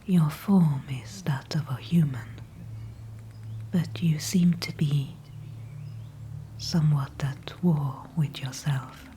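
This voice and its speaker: A woman's voice: low-pitched, a little hiss or hum. A woman whispering as an ancient dryad. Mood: calm, knowing. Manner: soft unvoiced whisper throughout; formal, unhurried, old-fashioned phrasing. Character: ancient female tree spirit, calm and wise. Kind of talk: ASMR audio roleplay.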